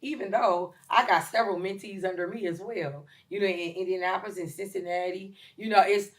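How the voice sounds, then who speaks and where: Lively, emphatic woman in her forties. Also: medium pitch, fairly quick. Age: 40s. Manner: lively, emphatic, with shout-outs and filler ums. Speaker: chef and caterer, guest. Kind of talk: casual podcast interview.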